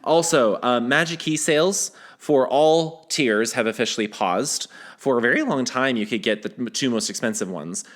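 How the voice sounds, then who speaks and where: A man hosts a casual podcast and reads out the latest news. His voice sits at an ordinary middle pitch, and he talks in a loose, teasing way, often trailing off mid-thought and starting again. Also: normal speed. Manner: conversational, teasing, trails off and restarts. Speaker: podcast host.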